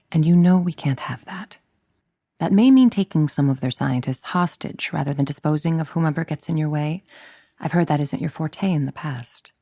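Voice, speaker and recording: A woman's voice: low voice, mellow, no room sound. A ruthless female scientist villain from a video game. She speaks with clipped, precise authority and cold calculation. The recording is close and dry, with no room sound. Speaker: game voice actress. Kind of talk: dramatic video game voice acting.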